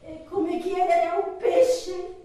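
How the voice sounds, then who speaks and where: A lovelorn, anguished woman waiting by the phone. Her voice is extremely high and she speaks haltingly, swinging between murmurs and outcries in a theatrical stage monologue. The sound is very echoey and a little distant from the microphone. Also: dark tone. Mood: apologetic, pleading. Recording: roomy, distant, echoing, faintly noisy.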